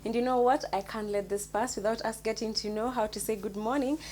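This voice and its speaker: A woman's voice: on the high side, steady tone, brisk, a little mellow. Kenyan woman hosting, with noisy background hum. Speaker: co-host of a forum. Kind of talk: upbeat conversational presenting.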